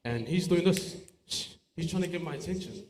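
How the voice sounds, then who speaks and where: A man's voice: on the high side, expressive, moderate pace, darkish tone. A man speaks informally to a church congregation, giving a testimony in a conversational but emphatic way, repeating key phrases. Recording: roomy church echo, faint background noise.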